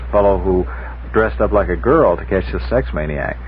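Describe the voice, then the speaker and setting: A deep-voiced man chats casually on a radio panel in a wry, teasing way. The old broadcast recording is narrow and slightly noisy. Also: animated, moderate pace. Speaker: radio talk show guest. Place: radio studio.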